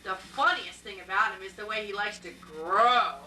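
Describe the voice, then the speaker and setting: A woman's voice: medium pitch, normal speed, bright, forceful tone. A woman voicing a plaintive little schoolgirl in an amateur puppet show. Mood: earnest reciting. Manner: childlike, plaintive. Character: sad, eager little schoolgirl puppet, third grader. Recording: echoey, distant live recording with background noise.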